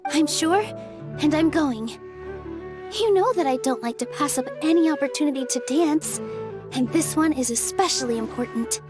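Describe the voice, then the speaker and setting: A sweet young dancer in a video game. The voice sits high, with a gentle, sincere delivery and a determined streak. Music plays clearly behind. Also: female voice, animated, normal speed. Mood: resolute, reassuring. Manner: gentle, earnest, reassuring.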